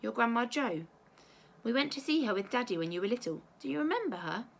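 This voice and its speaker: A woman voicing a gentle, caring mother who explains things tenderly and patiently in a storybook. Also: fairly high pitch, expressive, fairly quick, creaky, voice pushed up. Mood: gentle, careful. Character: gentle, caring mother soothing her child.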